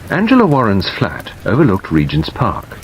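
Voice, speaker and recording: A man reading literary narration as an audiobook narrator, in a measured scripted delivery. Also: lowish voice, a little hiss or hum, poor audio. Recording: steady rain sound over the reading. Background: rain.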